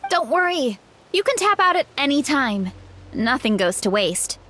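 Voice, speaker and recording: Giggly, high-voiced young warrior. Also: female voice, very animated, a little slow, pushed and bright, a little hiss or hum, heavily acted. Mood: easygoing. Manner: easygoing, giggly, a little absent-minded. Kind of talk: game voice acting. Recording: game audio with faint music.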